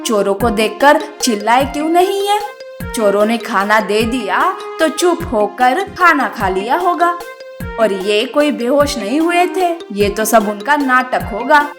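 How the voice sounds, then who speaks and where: A man's voice: very high voice, sing-song, measured pace, music in the background, pitched above the performer's own voice, exaggerated voice. A man voicing a suspicious, scolding village wife in a cartoon story, with a nagging delivery. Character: suspicious, scolding village wife, sharp-minded adult woman. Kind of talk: animated storybook character voice.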